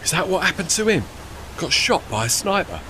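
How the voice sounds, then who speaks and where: Man playing a plucky, high-voiced steam tugboat. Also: very animated, brisk, bright, forceful tone, slight background noise, heavily acted, poor audio. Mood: curious. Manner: colloquial, folksy, non-standard grammar. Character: plucky working-class male steam tugboat, cheeky and proud. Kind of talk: audio drama acting.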